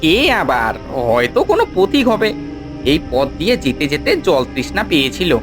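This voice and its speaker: A woman's voice: low voice, normal speed. A woman playing a sly but dim villain's accomplice in a cartoon, eager and questioning in manner. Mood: baffled, eager. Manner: animated, questioning. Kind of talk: dramatic cartoon voice acting. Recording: music behind the voice. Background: music.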